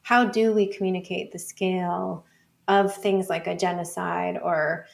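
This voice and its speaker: A woman's voice: lowish voice, flat delivery, a little slow, vocal fry. A woman speaking as an interview guest, explaining ideas in a thoughtful, informal way with a lot of conversational hedging. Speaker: podcast guest, author and academic.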